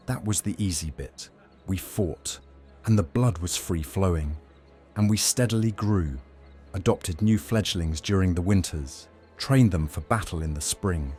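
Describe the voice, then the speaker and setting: A man playing a young Roman vampire master in an audio drama, measured, teasing and faintly threatening. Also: low-pitched, darkish tone, soft background music. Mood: grave, reflective. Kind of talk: audio drama roleplay acting.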